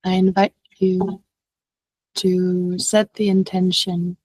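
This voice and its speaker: A woman leading a guided meditation as a teacher, speaking gently and unhurriedly with long pauses. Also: fairly low pitch, completely flat delivery, husky, no echo, low-quality recording.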